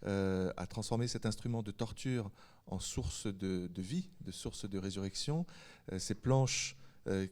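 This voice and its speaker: A man's voice: fairly low pitch, a little slow, darkish tone. A man hosting a panel discussion, putting thoughtful questions and rephrasing them as he goes. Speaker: host and moderator of a panel discussion.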